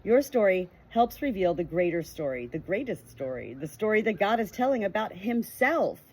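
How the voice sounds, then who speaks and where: Breathy woman reading a book aloud. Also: medium pitch, big pitch swings, normal speed. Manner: earnest, emphatic reading that breaks into chatty asides. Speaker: audiobook reader.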